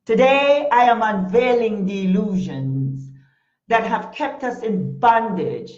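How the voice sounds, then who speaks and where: A woman's voice: medium pitch, lively intonation, slow pace, a little mellow. A woman from Panama with a Caribbean English accent, hosting a live motivational talk show in an earnest, emphatic way with many hesitant fillers. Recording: roomy, dull lo-fi live stream.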